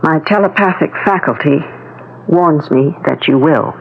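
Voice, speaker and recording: An actress playing a composed but jealous middle-aged wife, knowing and foreboding, in a 1970s radio drama. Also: female voice, sits low, unhurried, creaky, full character voice. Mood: grave foreboding. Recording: thin, narrow-band 1970s radio recording.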